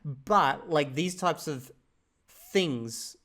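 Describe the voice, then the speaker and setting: An Australian man hosting his channel, giving relaxed, opinionated commentary on another creator's video. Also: on the high side, drawn-out words. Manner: relaxed, opinionated, chatty asides. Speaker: channel host reviewing a video. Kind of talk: casual commentary.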